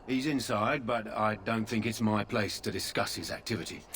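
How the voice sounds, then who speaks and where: A man's voice: lowish voice, steady tone, moderate pace, creaky, a bit bright, a little hiss or hum. An actor playing a dutiful male soldier guarding a tent, speaking in a plain, matter-of-fact way.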